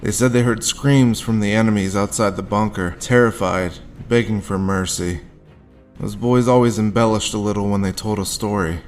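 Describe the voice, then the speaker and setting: An actor playing a grim, haunted war veteran, telling his story deliberately in a noir audio drama. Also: fairly low pitch, fairly even intonation, faint music behind, lightly acted. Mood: grim, tense. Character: haunted hard-boiled male war veteran recounting his grim past.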